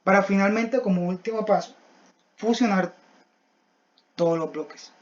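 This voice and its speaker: A young adult student giving a scripted technical presentation in a halting, didactic, step-by-step manner. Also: medium pitch. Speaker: student presenting a class project. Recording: dull, lo-fi voice recording.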